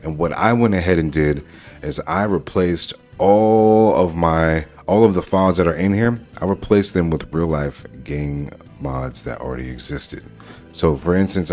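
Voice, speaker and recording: A man hosting a casual software walkthrough, talking informally through each step as he goes. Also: deep voice. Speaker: tutorial host.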